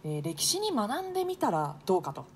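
A woman's voice: mid-range voice, huge pitch swings, fairly quick, a little hiss or hum. A woman hosting a radio show in a polite, chatty, casual way. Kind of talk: casual co-host chat.

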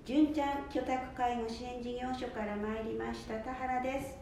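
A woman's voice: fairly even intonation, moderate pace, a little mellow, close to an everyday voice. A woman playing a kind, attentive care manager in an amateur radio drama. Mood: polite, attentive. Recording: very echoey room, faint rumbling noise.

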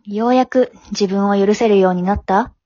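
Teen daughter, played by a woman, frank, gently chiding, matter-of-fact; dry, slightly lo-fi radio drama sound. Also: medium pitch, moderate pace, dry recording, natural voice. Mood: pointed. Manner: matter-of-fact, teasing, plain-spoken. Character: frank, forgiving teenage high-school daughter, gently chiding. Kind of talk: amateur short radio drama acting.

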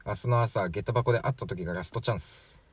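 A man's voice: on the low side, fast, breathy edge. A man playing a chatty, flippant 43-year-old from the future in a radio drama, glib and matter-of-fact. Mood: breezy, teasing. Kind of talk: amateur radio drama acting.

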